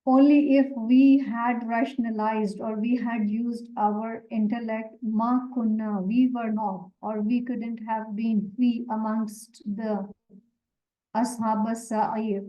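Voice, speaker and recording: A woman whose first language is Urdu explains the meaning of Quran verses word by word, measured and pausing with fillers. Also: a little high, little pitch movement, lo-fi sound. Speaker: Quran teacher.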